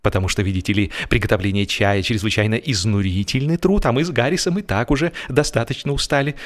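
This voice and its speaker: A man narrating a humorous literary story in an expressive, measured way with deliberate pauses. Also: on the low side. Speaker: audiobook narrator.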